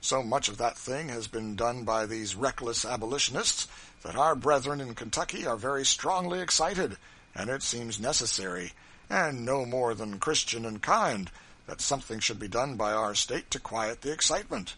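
A man's voice: mid-range voice, animated, normal speed, sharp tone, slightly rough, heavily acted, low-quality recording. A man reading aloud, voicing a mild senator husband who soothes and reasons with his wife. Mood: placating. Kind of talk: audiobook character reading.

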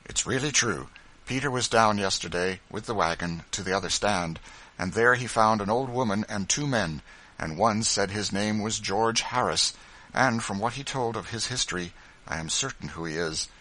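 Man playing a bright-voiced, steady Quaker father. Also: fairly low pitch, moderate pace, voice pushed up, poor audio. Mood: assured. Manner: earnest, assured, archaic Quaker speech. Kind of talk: audiobook character voice, storybook dialogue.

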